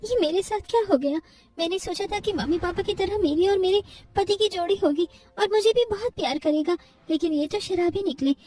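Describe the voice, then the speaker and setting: A woman's voice: extremely high pitch, a little fast, warm tone, distant mic. A woman voicing a meek, suffering young wife and devoted mother in a cartoon story, pleading and earnest. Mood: hurt, tearful.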